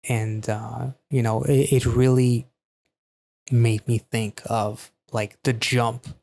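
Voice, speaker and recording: A man's voice: mid-range voice, little pitch movement, slow, creaky, dark tone. A man hosting a music reaction, talking casually and enthusiastically about a song and its lyrics, with some offhand swearing.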